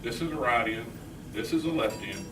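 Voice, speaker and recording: A man's voice: medium pitch, lively intonation, moderate pace. A man doing a magic act live on stage, talking his way through it in casual, teasing, improvised patter. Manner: joking, teasing. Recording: echoey, noisy live room, distant mic.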